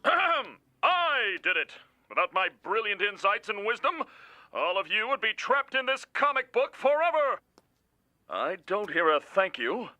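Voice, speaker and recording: A man voicing a vain, cowardly, pedantic talking dog in an animated cartoon. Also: sits high, unhurried, full character voice. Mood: pompous. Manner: pompous, pedantic.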